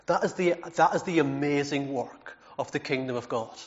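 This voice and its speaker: A man's voice: on the high side, moderate pace. Earnest male preacher, slightly muffled recording. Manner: earnest, emphatic, with self-deprecating asides and repeated words. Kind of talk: sermon.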